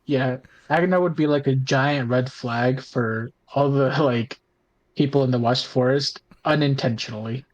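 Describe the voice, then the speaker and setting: Mid-pitched podcaster in casual chat. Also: unhurried. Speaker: podcast cast member and player.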